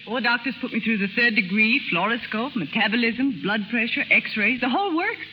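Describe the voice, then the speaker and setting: A woman's voice: a little high, animated, normal speed, pushed and bright. An actress playing a spirited, devoted young secretary in love with her boss, in 1930s radio melodrama style. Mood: playful, plucky. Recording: slightly noisy 1930s radio broadcast.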